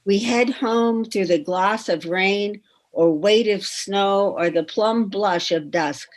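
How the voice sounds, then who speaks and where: A woman reading a poem aloud in measured, deliberate phrases. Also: mid-range voice. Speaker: reader at a poetry reading.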